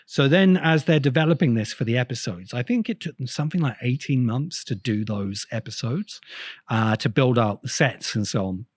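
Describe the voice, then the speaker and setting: A man giving informal, intellectual commentary as an essay host, thinking aloud with pauses and repeated words as he explains. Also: medium pitch, husky, no echo, clean studio sound. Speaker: host of a science fiction video essay channel. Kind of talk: informal spoken commentary and analysis.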